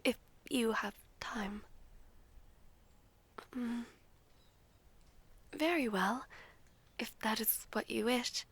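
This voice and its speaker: A woman playing a gentle, earnest young princess in a romantic audio roleplay, speaking softly and formally with hesitant pauses. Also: a little high, breathy edge, heavily acted. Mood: tender, intimate.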